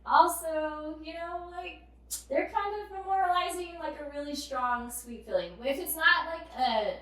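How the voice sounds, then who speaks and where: Giggly high-pitched woman in an echoey room. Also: unhurried. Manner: giggly, animated, frequent "like". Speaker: podcast guest, tattoo artist and shop owner. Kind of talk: casual chat. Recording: very echoey room, far from mic.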